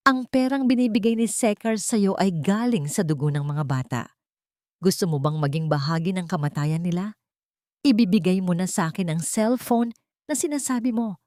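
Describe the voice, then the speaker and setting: A woman's voice: mid-range voice, normal speed, warm tone, dry recording, studio quality, lightly acted. A woman with a Filipino accent voicing a brave, desperate housemaid, firm and earnest, in an audiobook melodrama. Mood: firm, pleading. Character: brave, desperate housemaid pleading for children's lives.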